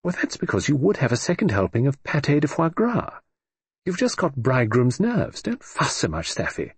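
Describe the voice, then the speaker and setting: A husky-voiced man voicing an elderly aristocratic great-aunt who is brisk, teasing and dry-witted. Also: a bass voice, big pitch swings, dry recording, voice pushed up, full character voice. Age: older.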